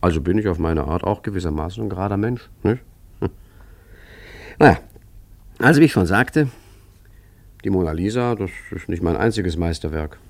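A man's voice: low-pitched, lively intonation, normal speed, vocal fry, long pauses. An actor in a radio play playing an urbane art forger who tells his story in a chatty, wry, self-satisfied way. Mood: wry boasting.

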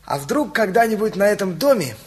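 A high-pitched, animated boyish voice in a radio play. He is a playful, slightly envious best friend who talks with mock grandeur. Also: a little fast. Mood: teasing, envious.

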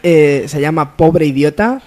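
A man co-hosting a casual comedy radio show, speaking in a halting, drawn-out, mock-solemn way. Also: on the high side, a little mellow. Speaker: radio show co-host and scriptwriter.

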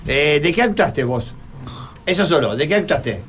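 A man with an Argentine accent hosting a radio show, talking informally and a bit haltingly as he interviews students. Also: fairly high pitch, animated, fairly bright tone, a little hiss or hum. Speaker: radio host. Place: radio studio. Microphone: studio microphone.

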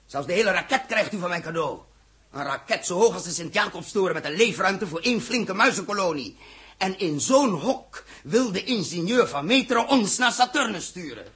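An actor playing a blunt, sarcastic space captain in a 1960s Dutch radio play, with a husky voice. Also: male voice, high voice, expressive, normal speed, a bit bright, heavily acted. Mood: sardonic, explanatory. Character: blunt, hot-headed male space captain, sarcastic toward experts. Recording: old 1960s radio drama recording.

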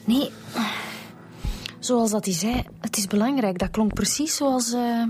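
Radio serial: actress as blunt Flemish woman detective, brisk and matter-of-fact, music underneath. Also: fairly high pitch, mellow, close to an everyday voice. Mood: musing, keen. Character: sharp, blunt female police detective. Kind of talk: naturalistic radio drama dialogue. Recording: music behind the dialogue.

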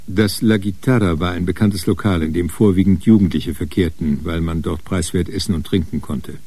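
Deep, dark-voiced crime narrator. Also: male voice, husky. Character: matter-of-fact male narrator of a crime story. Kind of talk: scripted crime drama narration.

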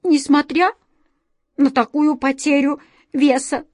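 A woman voicing a small brave grey female mouse who squeaks. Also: steady tone, takes it slowly, no echo, studio quality. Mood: plucky.

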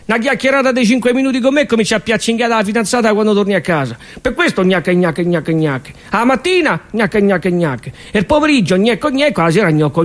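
A man with a Roman accent performing a comic stage monologue full of absurd, rhythmic nonsense chanting. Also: high voice.

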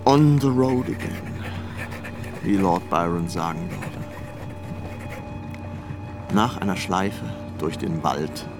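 A man's voice: deep voice, unhurried, music in the background. A man playing a wry, rueful old poet who muses aloud with self-mockery in a radio play. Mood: rueful musing.

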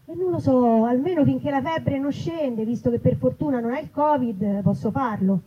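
A woman's voice: high-pitched, moderate pace, lightly acted. Grumbling, foul-mouthed Roman woman, as a female reader plays her. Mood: exasperated. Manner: grumbling, coarse colloquial. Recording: dull, noisy live event recording.

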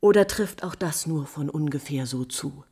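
A woman's voice: low-pitched, normal speed, mellow, husky. A woman playing a proud, scorned countess in 1950s German radio drama, bitterly mocking and agitated, moving between whispers and outcries. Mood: scornful triumph. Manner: theatrical, swinging from whispers to outcries.

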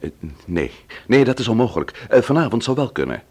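A man's voice: medium pitch, sing-song, fairly quick, a little mellow, slightly rough. An actor playing a polite, flustered Englishman in a Dutch radio drama, speaking hesitantly and often repeating words. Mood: courteous, hesitant. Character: polite, flustered middle-class Englishman, bereaved brother.

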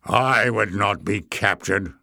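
A man's voice: lowish voice, takes it slowly, sharp tone, dry recording, studio quality, exaggerated voice. A man as a growling, hulking savage warrior. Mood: grumbling. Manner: growling, mumbling, blustering. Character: hulking savage male warrior, boastful, belligerent, slow-witted.

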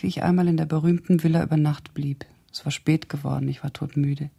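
An actress playing a blunt, sardonic older woman with a husky, gravelly voice, indignantly loyal to an old friend, recounting memories in a German radio drama. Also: low-pitched, dark tone, exaggerated voice. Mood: reflective, bitter. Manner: blunt, sardonic, deliberate storytelling.

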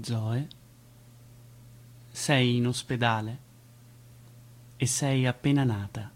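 Coaxing guide figure, low-pitched, breathy and husky, repetitive phrasing, theatrical radio play. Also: female voice, a little mellow, exaggerated voice. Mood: grave, coaxing. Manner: repeats phrases, coaxing, swooping intonation. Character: patient guiding voice coaxing a newborn through imagining her life.

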